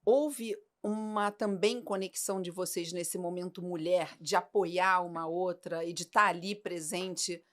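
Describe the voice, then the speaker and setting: A woman hosting a podcast, telling stories in a lively way and asking her guests questions. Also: on the low side, unhurried, hardly any pauses. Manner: lively storyteller, asks questions. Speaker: podcast host. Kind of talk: casual chat.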